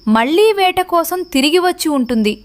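A woman's voice: very high-pitched, expressive, fairly quick. A woman reading scripted narration for a children's story as the narrator.

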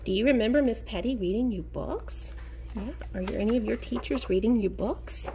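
A woman teacher reading a picture book aloud to young children in an expressive sing-song way, encouraging them and asking them questions. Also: a little high, a little hiss or hum. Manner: expressive, sing-song, drawn-out key words; encouraging, addresses children directly.

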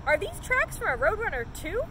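A curious young storybook boy, read by a woman, wondering and inquisitive. The pitch is high with lively swings, recorded outdoors with plenty of noise. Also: brisk, exaggerated voice.

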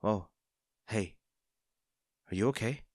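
A friendly young barista, acted by a man for an audio roleplay, with a fairly low voice. He speaks casually and attentively, a little hesitant, as if to one favorite customer. The sound is dry, with no room around it. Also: takes it slowly, darkish tone, no echo. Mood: concerned, gentle. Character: friendly, caring young male barista, teasing and easily flustered. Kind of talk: intimate audio roleplay acting.

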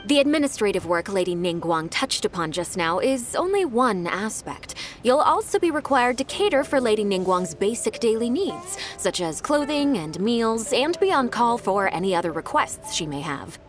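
An eager, devoted young secretary. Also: female voice, a little high, very animated, soft background music. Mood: businesslike. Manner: brisk, earnest, enthusiastic. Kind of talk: game voice acting.

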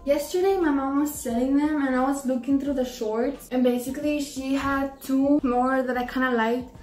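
A woman's voice: high voice, fairly even intonation, normal speed, far from the mic. A teenage girl with a slightly Mexican-tinged accent, chatting casually and a bit tiredly in her bedroom about clothes she is trying on. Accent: Mexican-tinged English. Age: teens. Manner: chatty, rambling, tired and easygoing. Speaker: vlogger. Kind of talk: casual try-on vlog chat. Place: small bedroom. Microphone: camera across the room. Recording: roomy, from off the mic, soft music behind.

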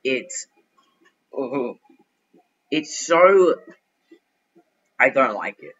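A young man giving chatty, excitable commentary while playing a game, thinking out loud as he goes. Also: medium pitch, drawn-out words, slightly rough, lo-fi sound. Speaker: gaming youtuber. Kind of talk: casual gameplay commentary.

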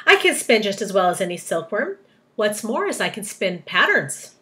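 A woman's voice: medium pitch, big pitch swings, normal speed, a bit bright. A woman voicing an anxious, easily indignant female spider from a storybook, speaking dramatically and insistently.

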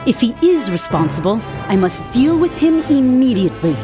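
A woman's voice: fairly high pitch, sing-song, music in the background. Proud, regal undersea warrior queen. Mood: grave. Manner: formal, regal, measured diction. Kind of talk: video game voice acting.

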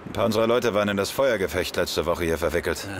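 A man playing a cool, defiant police detective in an audio drama, speaking in a dry, deadpan manner. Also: low-pitched, fast talker, a little hiss or hum. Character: cool, defiant male police detective narrating his case. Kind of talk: first-person narration and dialogue in German audio drama.

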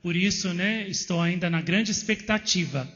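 A Brazilian man in his thirties giving a slow, earnest devotional talk to pilgrims in a shrine chapel, pausing on "eh" and "né". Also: high-pitched, a bit bright. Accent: Brazilian Portuguese. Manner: slow, earnest, fills pauses with "eh" and "né". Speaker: visionary addressing pilgrims. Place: indoor shrine chapel with statues. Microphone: handheld microphone. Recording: reverberant, dull lo-fi video sound.

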